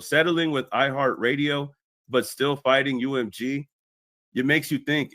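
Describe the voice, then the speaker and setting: A man's voice: medium pitch, a bit bright, no echo. A man delivering scripted explanatory commentary as a video commentator.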